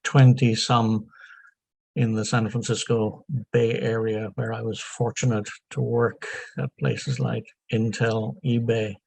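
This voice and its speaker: Older Irish male guest, Dublin accent, unhurried and halting, wry; gravelly voice. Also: medium pitch, flat delivery, a little mellow, no room sound, rough recording. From Ireland. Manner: halting, frequent ums, self-deprecating asides. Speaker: guest, software consultant. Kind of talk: unscripted interview answers.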